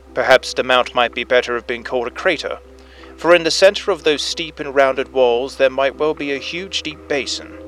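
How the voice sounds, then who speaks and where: A man's voice: medium pitch, fairly bright tone, low-quality recording. A man as an earnest inspector on old phonograph. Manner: formal, measured, earnest. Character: earnest head police inspector recounting strange events. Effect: old phonograph recording. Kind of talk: dramatic first-person storybook narration. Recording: narration over music, phonograph effect. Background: music.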